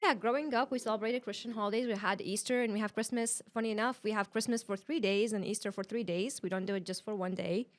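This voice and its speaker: A woman's voice: mid-range voice, fairly even intonation, fast talker, hardly any pauses. Casting: female interview guest from Iraq, middle-register voice, casual and explanatory with loose phrasing. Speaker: podcast guest.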